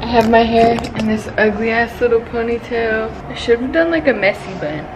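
A woman's voice: on the high side, fairly quick, over loud music, rough recording. Chatty young woman vlogging on her phone. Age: young adult. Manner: chatty, crude and playful. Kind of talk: casual vlog chat. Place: car, thrift store and gym. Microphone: handheld phone camera.

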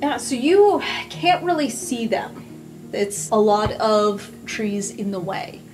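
A woman's voice: medium pitch, animated, slow pace, darkish tone. A woman running an improvised tabletop game, narrating scenes as game master. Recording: music playing behind the voice. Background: music.

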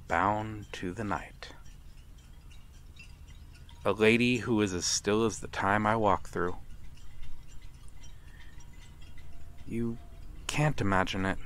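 Guy doing a brooding vampire for asmr roleplay, lowish voice, really slow n soft, polite but menacing. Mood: wistful, bitter. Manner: slow, soft-spoken, theatrical, dropping into whispers. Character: brooding, courteous, menacing male vampire stranger. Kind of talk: ASMR roleplay, dramatic monologue.

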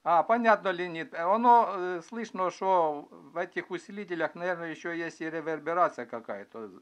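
A man chatting casually as an amateur radio operator in a halting, hesitant way, recorded indoors at his desk. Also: a little high, clear, resonant tone, muffled recording. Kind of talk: casual ham radio chat, asking questions.

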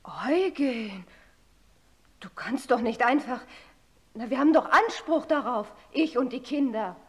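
An aggrieved wife speaks in a fairly high voice in a radio play. She is insistent, a mother pressing her claim. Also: expressive, unhurried. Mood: upset, pleading. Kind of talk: German radio play acting.

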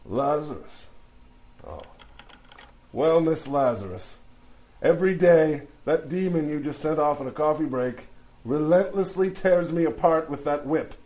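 A man's voice: on the high side, unhurried, a little mellow, close to an everyday voice. A man playing a long-suffering lawyer in hell for a comic fantasy audio drama, dry and sarcastic. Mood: sardonic, weary. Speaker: voice actor.

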